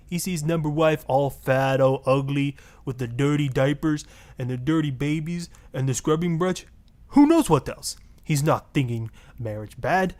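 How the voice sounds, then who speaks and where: A man's voice: medium pitch, darkish tone, lightly acted. A man voicing a suave, sleazy marriage guru with a Spanish accent, florid, seductive and pompous, in a satirical game radio style. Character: suave, sleazy Latin male marriage guru, grandly passionate.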